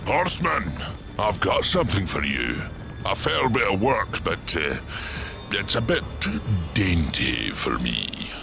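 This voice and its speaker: An actor playing a surly, sardonic old giant hermit blacksmith, in a video game cutscene. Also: male voice, deep voice, big pitch swings, slow pace, creaky, music in the background, heavily acted. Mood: wry. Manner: folksy, colloquial, sardonic growling.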